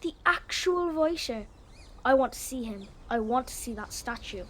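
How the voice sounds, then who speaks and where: A woman's voice: fairly high pitch, animated, moderate pace, a bit bright, slight background noise. An actress playing an eager, bookish young schoolgirl in a children's audio drama. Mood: excited, secretive. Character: eager, bookish young schoolgirl who loves fairy tales.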